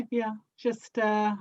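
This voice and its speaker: A British woman chatting casually as a podcast guest on slightly dull, band-limited audio. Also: a little high. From United Kingdom. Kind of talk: casual conversation.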